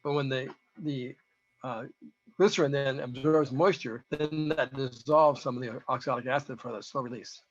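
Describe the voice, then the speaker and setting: A man with a hoarse voice gives an informal, halting technical presentation, explaining data as a researcher. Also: medium pitch, soft background music. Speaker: presenter, researcher giving a talk to beekeepers. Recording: dull, lo-fi audio.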